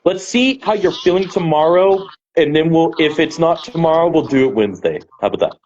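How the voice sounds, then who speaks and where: A man's voice: a little high, expressive, fairly quick, darkish tone. A man hosting a casual chat show and talking through past matches in an easygoing way. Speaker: podcast host. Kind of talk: casual chat about wrestling. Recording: dull lo-fi sound with faint noise.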